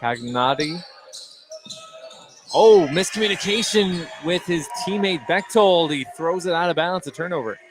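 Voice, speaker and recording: A man with a somewhat high voice calls a basketball game play by play. He is in a gym, with clear ambient noise and ball bounces behind him. It is a live radio-style sports commentary. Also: lively intonation, a little slow. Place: at courtside in an indoor gymnasium.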